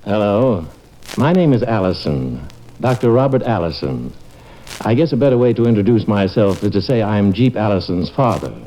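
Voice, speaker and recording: Man playing wry, low-voiced radio sitcom dad. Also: expressive, moderate pace, mellow, natural voice. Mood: wry narrating. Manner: dry, ironic understatement. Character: wry, exasperated small-town doctor and father. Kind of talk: old-time radio sitcom acting and narration. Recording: muffled old radio broadcast.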